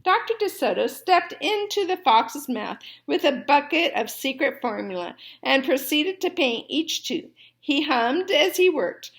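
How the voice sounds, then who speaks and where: A woman storyteller and teacher reading aloud to children expressively, with deliberate phrasing. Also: high-pitched.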